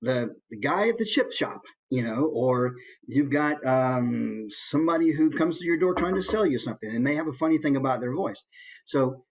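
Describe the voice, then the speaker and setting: American male presenter, slightly husky mid-range voice, informal and conversational teaching. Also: medium pitch, animated, moderate pace, rough recording. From United States. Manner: conversational, demonstrates as he explains. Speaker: voice actor giving a presentation. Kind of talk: informal instructional talk.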